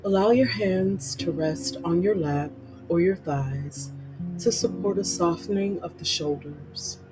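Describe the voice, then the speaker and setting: A meditation guide reading a scripted guided meditation in measured, instructional, spaced-out phrases. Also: medium pitch, rough recording. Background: plucked guitar music.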